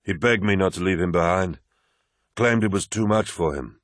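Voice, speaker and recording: A man doing a dying warlord, rasping and grave. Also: deep voice, moderate pace, no room sound, clean studio sound, close to an everyday voice. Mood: weary, grave. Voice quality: rasping, wheezing. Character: dying ancient male warlord, rasping, proud.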